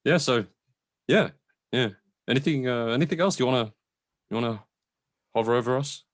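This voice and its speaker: A man's voice: mid-range voice, moderate pace. A man co-hosting a film review podcast in casual chat, talking in an informal, slangy way.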